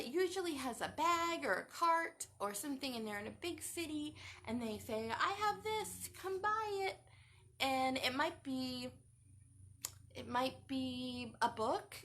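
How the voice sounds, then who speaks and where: A high-voiced woman reads a picture book to young children. She takes it slowly, with big swoops in pitch, and often turns to the listeners with questions. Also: slight background noise. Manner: expressive, asks the listeners questions. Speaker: children's storytime reader.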